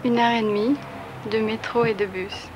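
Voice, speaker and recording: A woman's voice: on the high side, steady tone, normal speed, music in the background, rough recording. A woman from southern France answering a street interviewer politely and matter-of-factly, in spontaneous short replies. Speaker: commuter interviewee. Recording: 1960s archive, clear background noise.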